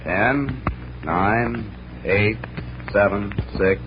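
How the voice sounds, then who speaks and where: A man's voice: on the low side, very slow. An actor playing a magician's eager, puzzled male sidekick in 1940s radio style, earnest and inquisitive. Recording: dull vintage radio recording, clear noise.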